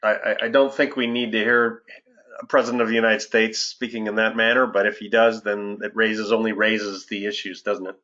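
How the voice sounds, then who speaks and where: A dull, lo-fi call recording carries a man's fairly low voice. He speaks as an investment adviser hosting a market update, in a measured, halting way that sounds partly prepared. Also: little pitch movement, pushed and bright. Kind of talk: semi-scripted financial market commentary. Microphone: conference call line. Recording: dull, lo-fi conference call audio.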